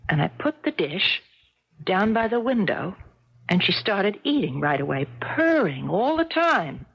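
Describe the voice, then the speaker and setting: A woman's voice: mid-range voice, sing-song, measured pace, vocal fry, slightly rough, heavily acted. An actress playing an insistent, cat-crazy woman in a 1970s radio drama, with a theatrical manner. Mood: quietly urgent. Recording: muffled, noisy 1970s radio broadcast.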